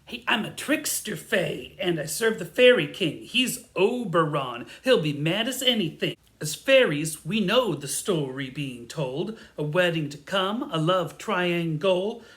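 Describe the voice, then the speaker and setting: A woman's voice: fairly low pitch, expressive, unhurried, few pauses, a bit bright. A woman playing a mischievous, brash trickster fairy who speaks in sing-song rhyme in a comic audio drama. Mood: brash mischief. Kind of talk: theatrical comedy audio drama.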